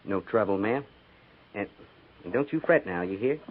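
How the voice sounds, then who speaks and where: An actor playing a folksy, loyal army sergeant with a rustic Western American accent, in 1950s radio drama style. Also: male voice, lowish voice, lively intonation, normal speed, lightly acted. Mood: polite, reassuring. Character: folksy, loyal, plain-spoken army sergeant of the Old West. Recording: tinny, hissy 1950s radio recording.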